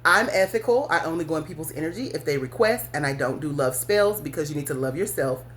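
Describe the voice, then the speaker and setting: A woman's voice: deep voice, expressive, fast talker, slight background noise. A woman hosting a podcast, telling a personal story to her listeners in a candid, blunt way, cursing and laughing often. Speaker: podcast host.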